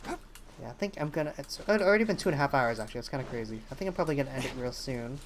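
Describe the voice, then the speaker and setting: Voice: mid-range voice, lively intonation, very fast, slight background noise. A game streamer chatting casually on a livestream, hesitant and rambling while thinking aloud. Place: indoors at a streaming setup.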